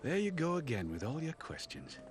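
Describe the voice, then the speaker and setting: Earnest, expressive devoted father. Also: fairly high pitch, brisk, faint music behind. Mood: fond, proud. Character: loyal, reassuring father devoted to the Empire. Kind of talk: video game cutscene acting.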